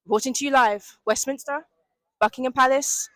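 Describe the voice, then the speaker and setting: A woman hosting street interviews outdoors in London, reporting in a playful, deadpan mock-news style. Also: high voice, fairly quick.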